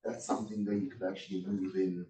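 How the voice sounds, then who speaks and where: A man's voice: low-pitched, flat delivery, fast talker, warm tone. A man from Ghana in the audience offering a musing suggestion. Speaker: audience member. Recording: distant, echoey room.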